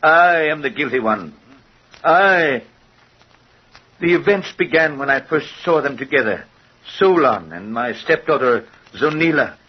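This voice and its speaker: An actor playing a hateful, aging inventor and stepfather in an old-time radio horror drama, speaking in formal, theatrical, deliberate diction. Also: a little high, lively intonation. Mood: grave, bitter confession. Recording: muffled, husky-sounding old radio recording.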